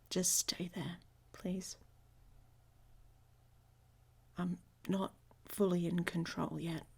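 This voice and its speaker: A husky, breathy female werewolf, acted by a woman, hot-tempered and possessive of her mate. She talks bluntly and sardonically, close to the listener, at an unhurried pace in an intimate audio roleplay. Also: lowish voice, sharp tone, exaggerated voice. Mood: angry, defensive. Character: hot-tempered, protective, possessive young she-wolf pack leader.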